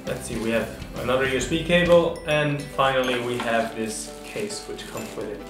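A young adult man presents a product unboxing to camera in a medium-pitched voice. The room adds noticeable echo, and music plays behind him. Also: normal speed. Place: small indoor studio, seated at table. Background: background music.

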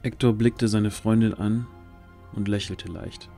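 A man's voice: fairly low pitch, fairly even intonation, unhurried, dark tone. A man reading scripted narration for a fantasy audio drama. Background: faint music.

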